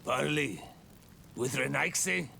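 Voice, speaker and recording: A man's voice: mid-range voice, slow, creaky, pushed and bright, a little hiss or hum, full character voice. A man playing a hostile, scornful clan warrior in a fantasy video game. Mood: angry, scornful.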